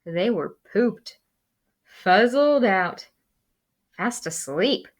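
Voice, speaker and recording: Very slow, animated woman reading aloud. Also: mid-range voice. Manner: expressive, deliberate, with dramatic pauses and hushed moments. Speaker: school librarian reading aloud. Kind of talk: dramatic children's storybook reading.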